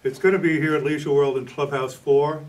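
A man's voice: on the high side, fairly even intonation, fairly quick, far from the mic, low-quality recording. A man in his sixties answering questions as an author in an interview. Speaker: novelist and playwright being interviewed.

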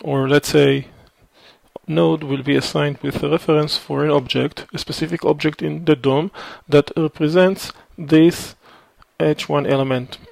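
A man talks through a code sample at a very unhurried pace. His voice is gravelly and fairly high for a man. The recording is dry and close, with methodical, filler-laden explaining. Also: fairly even intonation, a little mellow, no echo. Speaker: programming instructor. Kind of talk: screencast code walkthrough. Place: at a computer, voice over screen recording.

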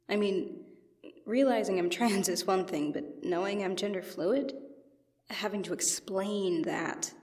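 Woman acting casual, expressive young friend, close dry sound. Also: mid-range voice, slightly rough, no room sound. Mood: hushed, anxious. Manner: teasing, casual, expressive, apologetic. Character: playful, bantering, anxious young genderfluid best friend. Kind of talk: ASMR roleplay, one-sided conversational acting.

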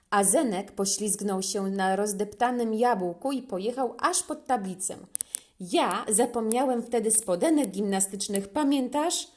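Woman voicing an eager, chatty little schoolboy, lively pitch. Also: lightly acted. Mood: excited retelling. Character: earnest, chatty young schoolboy, a younger brother.

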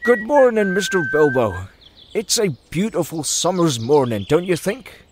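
A man's voice: high voice, big pitch swings, normal speed, noisy background. A man voicing a humble, friendly middle-aged hobbit gardener, deferential and chatty, in an audio drama. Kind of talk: audio drama character acting.

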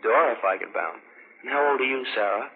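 A man's voice: mid-range voice, a little fast. A man interviewing for 1940s radio, asking short simple questions and repeating answers back. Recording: narrow, hissy vintage 1940s radio recording.

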